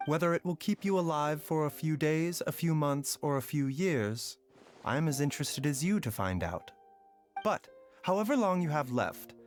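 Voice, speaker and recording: An actor voicing a calm, enigmatic doctor in a video game, speaking in a polite, formal, measured way. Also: male voice, fairly high pitch.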